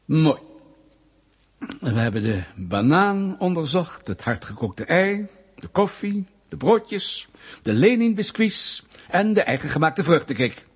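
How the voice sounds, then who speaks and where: Smug, menacing state official, slightly husky. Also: male voice, fairly high pitch, very animated, slow, exaggerated voice. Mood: smug, threatening. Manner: mock-polite, sarcastic, pedantic, insinuating. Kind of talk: Dutch radio play acting.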